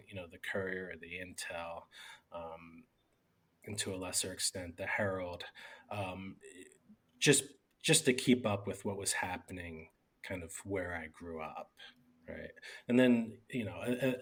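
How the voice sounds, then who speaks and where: Middle-aged man, lowish voice, rambling interview guest. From Bucks County, Pennsylvania. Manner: rambling, many fillers and restarts. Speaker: newspaper editor, guest. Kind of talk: casual interview talk.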